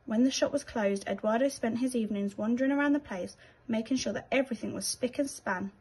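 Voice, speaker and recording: Slightly husky British woman reading a story. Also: fairly high pitch, a little fast, darkish tone, rough recording. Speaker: teacher reading to children. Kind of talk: expressive children's storybook narration.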